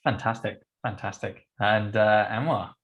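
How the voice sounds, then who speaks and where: A man hosting a webinar in an upbeat, brisk way over a video call. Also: on the low side, steady tone, a bit bright, highs cut off. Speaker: webinar host.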